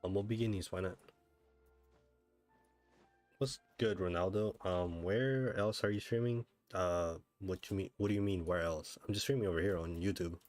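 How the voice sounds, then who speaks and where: Lowish-voiced man livestreaming casually. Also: normal speed, a little mellow. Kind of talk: casual gaming stream chat. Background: game music.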